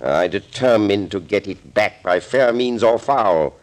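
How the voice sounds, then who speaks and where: An actor playing a sinister middle-aged doctor, florid and mock-polite, in a 1940s radio thriller. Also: male voice, lowish voice, animated, measured pace. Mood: brooding, bitter. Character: sinister middle-aged male doctor, suave, gloating schemer.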